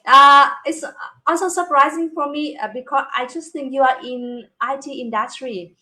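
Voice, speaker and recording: Vietnamese woman co-host, high bright voice, animated chat with frequent laughs. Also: moderate pace, low-quality recording. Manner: animated, laughs often, repeats words. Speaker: talk show co-host. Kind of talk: casual chat.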